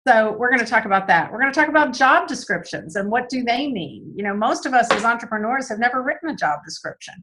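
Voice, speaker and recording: A woman guest who is losing her voice, answering interview questions earnestly with frequent "um" pauses. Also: fairly high pitch, big pitch swings, noisy background. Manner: earnest, many "um" fillers and repeated words. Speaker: guest speaker on business systems.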